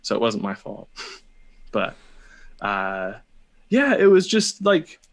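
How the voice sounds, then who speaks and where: A young American man telling a personal story casually as a podcast guest, in a hesitant, halting way. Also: on the low side, sing-song. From United States, California.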